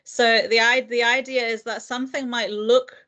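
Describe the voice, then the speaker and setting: A woman teacher and lecturer answering interview questions in a thoughtful, earnest way, with frequent fillers and soft laughs. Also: fairly high pitch, fairly even intonation, normal speed. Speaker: guest, primary teacher and lecturer. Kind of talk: reflective interview conversation.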